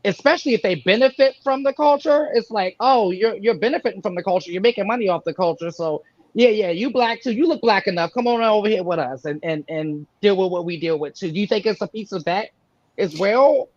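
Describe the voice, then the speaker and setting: A woman in a casual panel discussion, asking animated questions. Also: mid-range voice, a little fast, dry recording, muffled recording.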